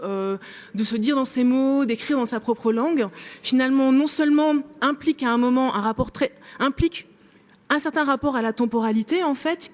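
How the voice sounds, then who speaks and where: A woman's voice: fairly high pitch, darkish tone. A woman making a long academic remark in a workshop discussion, hesitant with many fillers but building long argued sentences. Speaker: workshop participant.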